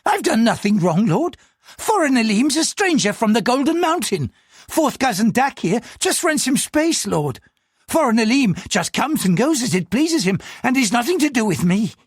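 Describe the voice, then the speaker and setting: A nervous middle-aged businessman who grovels and pleads, his voice thin and high with panic, as an audiobook narrator puts him on. The tone is husky, recorded close and dry. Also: big pitch swings, normal speed, no echo, exaggerated voice. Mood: pleading.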